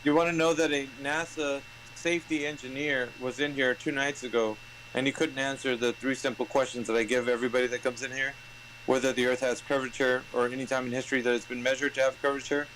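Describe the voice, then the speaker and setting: A man's voice: mid-range voice, little pitch movement, fast, a bit bright, slight background noise, rough recording. Emphatic man in his forties. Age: 40s. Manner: emphatic, repetitive storytelling. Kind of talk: casual chat.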